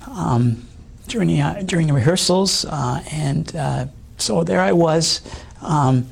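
A middle-aged man with a hoarse voice, giving an earnest, halting personal testimony as an interview guest. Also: on the high side. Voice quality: hoarse voice from old vocal damage. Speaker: interview guest, former stage singer.

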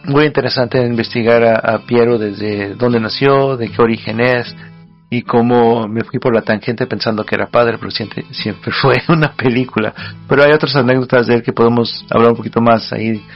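A male radio host with a mid-range voice, talking in a loose, rambling way with frequent fillers and laughs. The broadcast sound is muffled and lo-fi, with soft music in the background. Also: medium pitch, fairly even intonation, moderate pace. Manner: chatty, rambling, laughs often, hesitant fillers. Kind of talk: casual radio chat.

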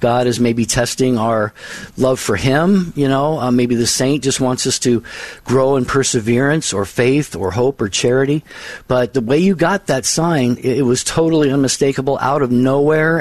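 A man from Washington State hosting a radio call-in show, speaking in a measured, reflective way. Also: mid-range voice, darkish tone, no room sound. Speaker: radio host.

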